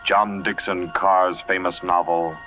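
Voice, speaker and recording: A man's voice: deep voice, flat delivery, measured pace. A man announcing a radio drama in a formal, portentous 1940s style. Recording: muffled vintage radio with loud music.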